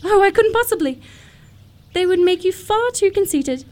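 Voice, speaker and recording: A woman's voice: very high-pitched, expressive, moderate pace, a little mellow. A woman playing a romantic, self-assured young upper-class lady in a period comedy radio play, precise and sweetly matter-of-fact. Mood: prim, dramatic.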